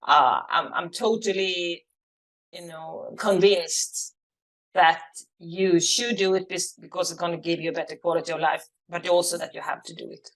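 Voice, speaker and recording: A woman from Sweden with a Swedish accent, a native Swedish speaker, talking as a guest coach in a halting way, with many restarts and fillers. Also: lowish voice, steady tone, poor audio. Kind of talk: casual interview.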